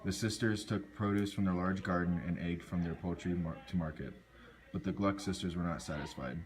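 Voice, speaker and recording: A man's voice: low-pitched, steady tone, fairly quick, a crowd in the background. A man narrating a scripted folk tale, reading aloud and occasionally stumbling over words.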